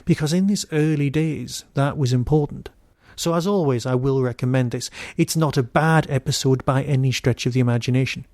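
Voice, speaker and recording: A man hosting a podcast, giving an informal solo review in a wry, digressive way with emphatic pauses. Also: medium pitch, darkish tone, no echo, clean studio sound.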